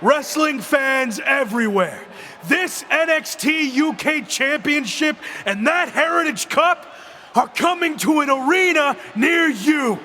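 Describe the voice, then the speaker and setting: Casting: cocky game wrestler, high projected voice, slow emphatic promo delivery over arena crowd noise. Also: male voice, lively intonation, exaggerated voice. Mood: cocky, boastful. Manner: emphatic, deliberate arena speechmaking. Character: defiant returning pro wrestler, cocky and determined. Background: loud arena crowd ambience.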